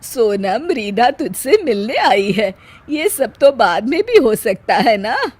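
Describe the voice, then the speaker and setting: A woman voicing a kindly, concerned middle-aged mother-in-law in an acted moral story, gentle and coaxing. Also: fairly high pitch, very animated, takes it slowly, heavily acted. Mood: soothing.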